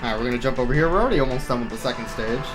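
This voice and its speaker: A man's voice: medium pitch, very fast. A man giving casual, wry live commentary as he plays through a video game speedrun. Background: game music.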